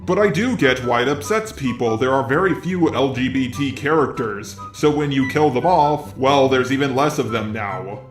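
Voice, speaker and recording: A man's voice: mid-range voice, moderate pace, few pauses, reverberant, soft background music. A man delivering scripted video commentary as a narrator.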